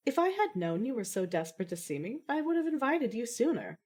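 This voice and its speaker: A woman's voice: fairly high pitch, big pitch swings, brisk, a little mellow, breathy edge. A woman playing a composed, dry-witted young daughter with a formal, slightly wry manner in amateur audio fiction.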